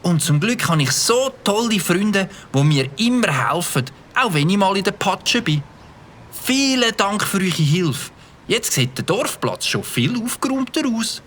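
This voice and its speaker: An earnest young schoolboy in a children's radio play. His voice sits fairly high and moves up and down with plenty of expression. He sounds sheepish and good-hearted. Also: sing-song, unhurried, a bit bright, slight background noise, full character voice. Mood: earnest, grateful. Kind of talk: children's audio drama acting.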